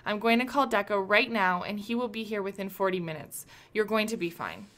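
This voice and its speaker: A woman's voice: mid-range voice, fairly quick, fairly bright tone, lightly acted. A woman playing a commanding, protective woman in charge in a radio drama, firm and authoritative. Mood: firm, reassuring. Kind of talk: radio play acting.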